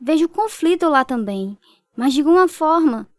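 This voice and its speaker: A woman's voice: sits high, expressive, moderate pace. An actress playing an anxious, tender young girl in a Brazilian radio drama, speaking hesitantly and fearfully. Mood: uneasy, hesitant.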